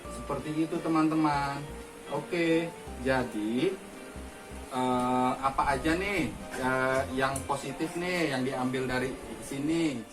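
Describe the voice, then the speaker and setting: A man telling a children's fable in a chatty way, often calling his listeners friends and pausing with fillers. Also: mid-range voice, recorded from a distance. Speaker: father telling a story. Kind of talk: children's storytelling. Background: music.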